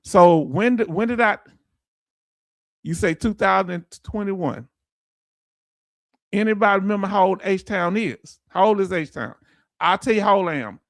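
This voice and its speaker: A man in his late fifties whose voice sits high for a man, heard dry and close with no room sound. He teaches an online audience in relaxed, folksy talk. He keeps asking viewers the same questions until they answer. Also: a little slow, long pauses, dry recording. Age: 50s. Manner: quizzes viewers with repeated questions. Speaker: veteran benefits streamer. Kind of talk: livestream lesson answering chat.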